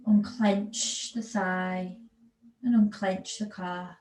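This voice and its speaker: A woman yoga teacher giving guided relaxation instructions in a soothing, unhurried manner with long pauses between phrases. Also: medium pitch, fairly even intonation, darkish tone. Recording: echoey room with background noise.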